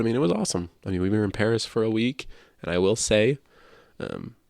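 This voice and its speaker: Congested, low-voiced man, casual. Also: vocal fry, dry recording, clean studio sound. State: congested, stuffy nose. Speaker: podcast co-host. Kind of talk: casual chat.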